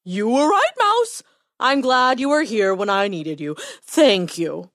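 Mighty male lion for a kids' story, played by a woman: childlike, overwrought, bright and high, drawn-out delivery. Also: big pitch swings, no room sound, studio quality, full character voice. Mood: grateful, relieved. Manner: wailing, overwrought, drawn-out words.

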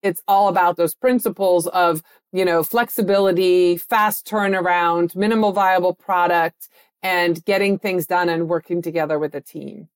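A middle-aged woman from the Netherlands, an agency owner, talking as a guest in an interview with an animated, enthusiastic manner. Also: on the low side, normal speed, clear, resonant tone. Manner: animated, enthusiastic, lively storytelling. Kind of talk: interview conversation.

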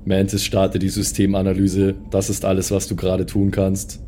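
A man's voice: low voice, monotone, normal speed, mellow, slight background noise, natural voice. A man voicing a stern, matter-of-fact killer robot with a commanding, formal manner.

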